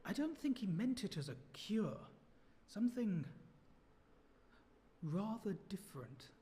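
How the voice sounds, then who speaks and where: An actor in a period radio drama playing a young, well-spoken English ex-soldier, jovial and full of old-fashioned exclamations. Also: male voice, high voice, very animated, slow, dark tone, heavily acted. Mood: intrigued.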